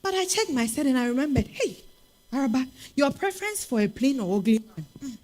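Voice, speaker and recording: A woman's voice: high voice, brisk. A woman with a Ghanaian accent playing a spirited single woman telling her dating story in a comic stage reading. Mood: wry, animated. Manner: expressive, exasperated storytelling. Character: Araba, spirited Ghanaian single woman hunting foreign men.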